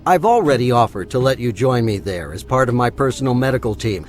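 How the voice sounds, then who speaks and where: A man playing a wealthy, self-important older schemer in an audio drama, pompous and smooth-talking. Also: medium pitch, lively intonation, a little fast, soft background music, lightly acted. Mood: pompous, pressuring.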